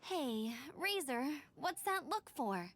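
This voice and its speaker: Very high, friendly, plucky game girl. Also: takes it slowly, heavily acted. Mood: friendly concern. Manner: chummy, animated. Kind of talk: video game character dialogue. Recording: music behind the voice.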